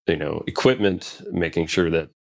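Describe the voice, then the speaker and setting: A man with a very low, deep voice recounts his time in Special Forces. He talks casually and loosely, circling back with fillers and restarted sentences. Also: fairly even intonation, moderate pace, gravelly. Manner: rambling, many fillers and restarts. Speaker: guest, Special Forces veteran. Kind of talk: casual interview storytelling.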